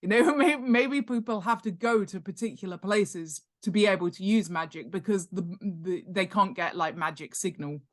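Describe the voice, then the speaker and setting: A woman's voice: medium pitch, normal speed, few pauses. A woman talking casually as an expert podcast guest, explaining ideas informally and emphatically, repeating points and posing rhetorical questions. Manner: animated, emphatic, repeats key points for stress; informal, uses rhetorical questions and acted-out examples. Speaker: podcast guest, author and world-building expert. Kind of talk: casual instructional interview chat.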